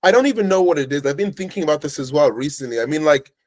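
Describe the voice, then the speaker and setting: A man's voice: fairly high pitch, lively intonation, rapid-fire, darkish tone, dry recording. Young man, Irish accent, early twenties, rambling casual talk, dry close sound. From Ireland. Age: early 20s. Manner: rambling, filler-heavy storytelling. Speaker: guest. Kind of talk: casual interview chat.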